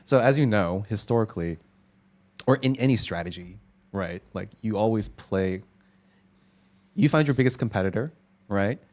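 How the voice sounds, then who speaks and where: A man's voice: lowish voice, animated, moderate pace, long pauses, a little mellow. A man hosting a casual podcast chat, giving blunt opinions and checking in with "right?". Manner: frank, opinionated, says "right?" often. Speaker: podcast host. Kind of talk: casual conversation.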